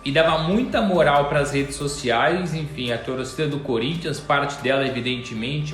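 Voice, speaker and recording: A man's voice: fairly high pitch, roomy sound. A man giving football commentary as a pundit on a talk show. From Portugal.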